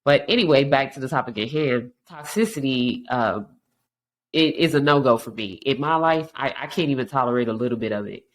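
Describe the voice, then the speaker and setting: A woman's voice: rumbling low, expressive, a little fast. A woman hosting a podcast in a frank, casual monologue, her voice stuffy and congested from allergies. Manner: frank, conversational, many restarts. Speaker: podcast host.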